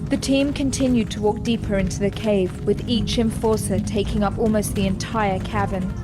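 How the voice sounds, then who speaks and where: A woman narrating a scripted sci-fi audio drama in a measured, descriptive storytelling manner. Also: mid-range voice, fairly even intonation, over music, close to an everyday voice. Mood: composed suspense. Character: composed female storyteller narrating a sci-fi adventure.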